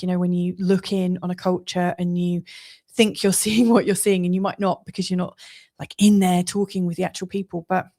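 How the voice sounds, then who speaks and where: A woman's voice: medium pitch, darkish tone. A woman from England with an English accent, giving an astrology forecast in an earnest, chatty monologue with frequent laughs and fillers.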